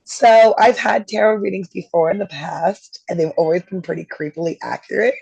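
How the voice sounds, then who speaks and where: A woman in her thirties, hoarse while getting over an illness, chatting easily as a guest getting a tarot reading. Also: fairly low pitch, normal speed, a little mellow, dry recording. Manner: chatty, easygoing, laughs readily. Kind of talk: casual chat. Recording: dull lo-fi dry sound.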